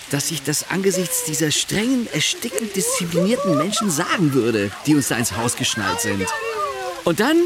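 An actor playing a wry, affectionate middle-aged husband who narrates a radio play in the first person. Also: male voice, fairly high pitch. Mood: elated.

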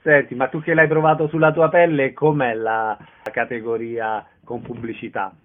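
A man's voice: medium pitch, level tone, moderate pace, phone-quality sound. A man interviewing a guest in a casual, conversational radio style.

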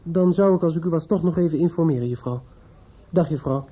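A man's voice: fairly high pitch, a little fast, slight background noise, natural voice. An actor playing a distraught, desperate young man in a radio play, hesitant and stammering as he speaks. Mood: nervous, hesitant. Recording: muffled old radio play recording.

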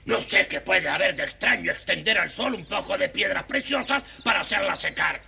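An actor voicing a grumpy, greedy, ungrateful little dwarf, whining and scolding in a children's audio storybook. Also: male voice, high voice, animated, brisk, vocal fry, bright, forceful tone, breathy edge, full character voice. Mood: peevish complaining. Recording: noisy old children's record.

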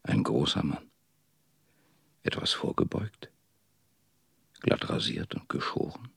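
Secretive surveillance man, extremely husky and gravelly, slow terse delivery, radio drama. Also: rumbling low, big pitch swings, faint music behind, full character voice. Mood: hushed, watchful. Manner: terse, halting, hushed.

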